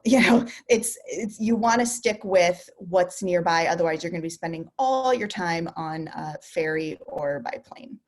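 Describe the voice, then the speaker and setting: Chatty woman presenting over a video call. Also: medium pitch. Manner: chatty, lots of ums and restarts, laughs easily. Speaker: travel company co-founder presenting. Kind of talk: casual virtual presentation.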